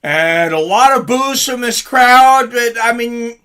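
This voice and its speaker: A man's voice: very high voice, expressive, takes it slowly, bright, forceful tone, a little hiss or hum. A man hosting a casual live reaction stream, chatting informally with viewers and commenting on fights. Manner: chatty, informal, greets viewers and reads chat aloud.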